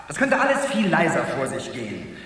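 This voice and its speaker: A man's voice: on the high side, wildly swooping pitch, fairly quick, fairly bright tone. An actor playing an office clerk who dreams he is an imperious director general, in a 1970s radio drama. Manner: imperious, pompous, barking orders. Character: man playing a pompous dream tycoon. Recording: echoey hall sound, voice set far from the mic.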